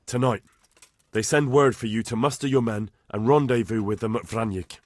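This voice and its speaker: Game hero: young male, husky mid-range, earnest, deferential to lords man acting. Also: medium pitch, moderate pace, dry recording, lightly acted. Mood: urgent, reporting. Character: earnest, respectful young squire, a lord's son.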